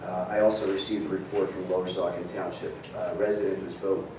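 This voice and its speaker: A man with a very deep, flat voice reads a halting report to the council, full of fillers. He is heard from afar in an echoey chamber. A layer of hiss runs underneath. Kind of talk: formal meeting report. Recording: echoey distant room mic with hiss.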